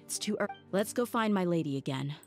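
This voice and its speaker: An actress playing a young female mercenary bodyguard in a video game, frank and level-headed in a measured way. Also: fairly low pitch, animated. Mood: resolute. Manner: frank, measured, thinking aloud. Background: background music.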